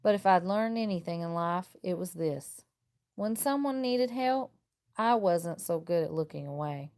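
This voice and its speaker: A woman reading a children's picture book aloud like a teacher, with expressive, deliberate and emphatic phrasing. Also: lowish voice, dry recording, clean studio sound. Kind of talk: expressive children's storybook read-aloud in first person.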